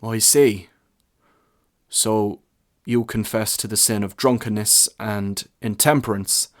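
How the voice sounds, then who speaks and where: A man's voice: on the low side, steady tone. Man acting a world-weary, stern parish priest. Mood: grave. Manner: measured, solemn, admonishing. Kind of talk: short story character reading.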